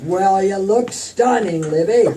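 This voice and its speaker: An actress playing a genial, formal radio show host in 1940s style. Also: female voice, mid-range voice, takes it slowly, noisy background. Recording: distant mic with music behind.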